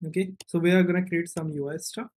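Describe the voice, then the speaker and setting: A man's voice: high voice, steady tone, fast talker, darkish tone, slight background noise. A man giving a casual coding walkthrough as an instructor, thinking aloud and often checking in with a short questioning tag. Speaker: programming tutorial instructor.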